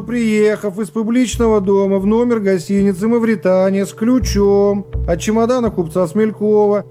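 A man voicing a presiding judge in a radio play, questioning the accused in a formal, measured, procedural way. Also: high-pitched, dark tone, close to an everyday voice. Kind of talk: radio play character acting.

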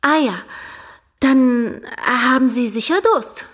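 A woman's voice: sits high, lively intonation, takes it slowly, heavily acted. An actress playing a shy, curious young provincial lady in a radio drama, hesitant and earnest. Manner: hesitant, wondering, simple direct questions.

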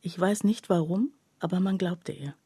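An actress playing a troubled factory worker, telling her story in a soft, husky, halting and confiding radio drama monologue. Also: on the low side, no room sound, clean studio sound. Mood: grave. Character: troubled female factory worker recounting her life, bewildered, plainspoken.

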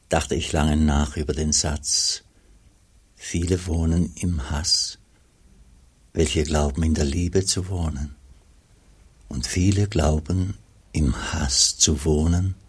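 Very deep-voiced, husky old monk. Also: male voice, steady tone, full character voice. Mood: reflective. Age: older. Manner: slow, grave, contemplative. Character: aged pious monk, humble, sorrowful, self-searching. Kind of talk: radio play narration and dialogue.

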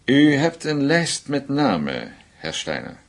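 An actor playing a shrewd German intelligence official in a radio drama, speaking formally and deliberately. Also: male voice, medium pitch, huge pitch swings, exaggerated voice. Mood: knowing, grave.

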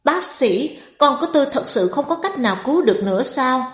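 Scheming young wife for audiobook melodrama, played by a woman: high-pitched, anguished, dramatic, echoey recording. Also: expressive, brisk. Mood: shaken pleading. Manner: wailing, accusing, dramatic.

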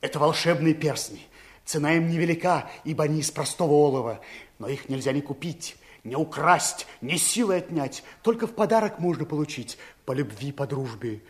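Pirate's henchman, male actor: servile, crafty, high-pitched, formal radio fairy-tale speech. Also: expressive, a little fast, lightly acted. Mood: servile, sly. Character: sly, servile pirate henchman, no longer young.

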